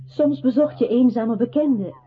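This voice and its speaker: An actress playing a solemn, quietly accusing narrator in a radio drama, with a husky voice and deliberate literary phrasing. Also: female voice, fairly high pitch, natural voice. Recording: muffled phone-like old radio recording.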